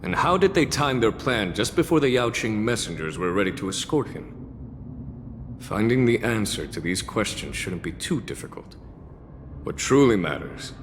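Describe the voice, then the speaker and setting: An actor playing a composed, dutiful army general in a fantasy video game, speaking formally and with command. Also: male voice, fairly low pitch, big pitch swings, normal speed, a little hiss or hum. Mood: pondering. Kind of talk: dramatic video game voice acting. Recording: long echoing hall reverb, music behind.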